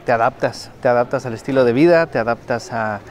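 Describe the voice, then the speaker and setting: A man giving reflective interview answers in halting, measured phrases. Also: mid-range voice. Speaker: documentary interviewee. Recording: faint background ambient noise.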